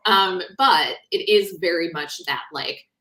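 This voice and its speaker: A woman author talking in a conversational interview, explaining things in an animated, informal way. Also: fairly low pitch, measured pace. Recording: roomy, echoing sound.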